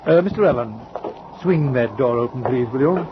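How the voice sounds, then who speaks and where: An actor playing a polite, composed sea captain who speaks formally and matter-of-factly, with small hesitations, in 1940s radio drama style. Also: male voice, medium pitch, animated, normal speed, close to an everyday voice. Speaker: ship's captain. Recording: dull old radio recording with clear hiss.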